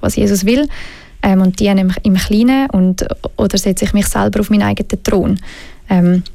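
A woman's voice: mid-range voice, gravelly, dark tone, no room sound. A woman with a Swiss German accent, speaking earnestly about faith, hesitant with many fillers. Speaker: panel guest. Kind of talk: faith discussion.

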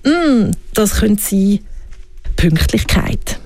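A woman's voice: medium pitch, sing-song, slow, warm tone, no echo. A woman with a Swiss German accent talking in a reflective interview, often asking herself questions aloud. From Switzerland. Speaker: guest. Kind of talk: reflective conversation.

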